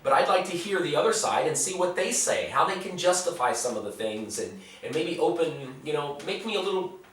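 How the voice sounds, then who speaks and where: A man's voice: on the high side, expressive, a little fast, fairly bright tone, recorded from a distance, a little hiss or hum. A man talking bluntly in a casual vlog, answering viewer questions. He rambles, repeats words and swears freely. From United States. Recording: very echoey room, voice off-mic.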